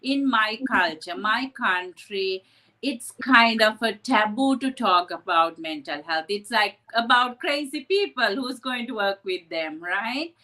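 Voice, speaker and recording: A woman's voice: medium pitch, lively intonation, unhurried, bright, forceful tone, rough recording. A woman from Sri Lanka, a psychotherapist telling her story earnestly in an interview, often adding "right?". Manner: earnest, reflective, with frequent "right?" asides. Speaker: psychotherapist guest.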